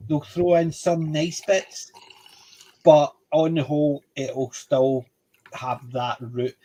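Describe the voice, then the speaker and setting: A man's voice: fairly high pitch, little pitch movement, takes it slowly, mellow, low-quality recording. A Scottish man hosting a casual panel chat, chatty and opinionated, reading facts aloud. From Scotland.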